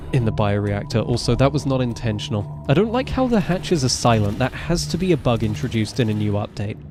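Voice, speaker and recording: A man in his twenties with a naturally deep voice, rambling casually as he streams a game live. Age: 20s. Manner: chatty, rambling, self-correcting. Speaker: gaming streamer. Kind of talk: casual live gameplay commentary.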